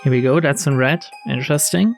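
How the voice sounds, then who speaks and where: A man's voice: medium pitch, a little fast, gravelly, soft background music. A man reading visual novel text aloud as a let's-play narrator, dropping wry, sarcastic and sweary commentary.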